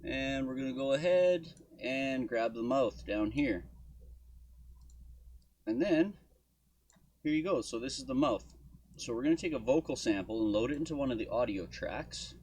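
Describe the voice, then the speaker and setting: Man, husky voice, mid pitch, casual tutorial host, informal, thinking aloud. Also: animated, moderate pace, long pauses, a little mellow. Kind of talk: casual software walkthrough. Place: indoor desk at home studio.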